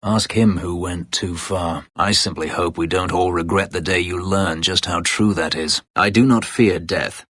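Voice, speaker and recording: A man playing a brooding, bitter elf warrior and escaped slave in a video game, terse, grave and sardonic, with a gravelly, husky voice. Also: low voice, steady tone, a little slow, few pauses, fairly bright tone, no echo, heavily acted. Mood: stern. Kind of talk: video game character voice lines.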